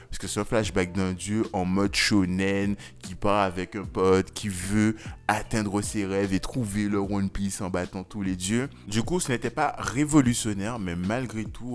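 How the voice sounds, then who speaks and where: A low-pitched man hosts a review in a casual commentary style. He talks conversationally and gives his opinions freely, with slangy turns of phrase. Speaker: host of an anime review video. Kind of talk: casual spoken video commentary.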